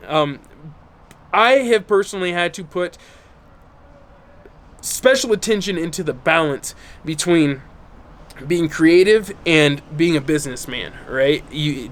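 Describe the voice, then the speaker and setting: A man speaks straight to his viewers outdoors in the bright sun. His voice sits a little high and sounds stuffy and clogged. He talks earnestly and keeps checking in with the audience. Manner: earnest, repeats "right?" and "okay?" often. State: stuffy, congested from burnt lungs. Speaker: YouTube channel host. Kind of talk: casual talk to camera.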